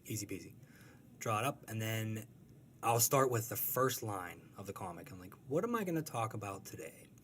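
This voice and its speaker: A man's voice: lowish voice, lively intonation, moderate pace, fairly bright tone, slight background noise. A young adult man, a comic strip creator, talking casually in an interview and telling rambling, self-deprecating stories.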